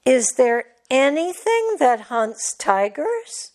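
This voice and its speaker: A woman voicing a curious, excitable young male tiger cub in a storybook, with an eager, questioning manner. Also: high-pitched, slow, voice pushed up, exaggerated voice. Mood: excited, curious.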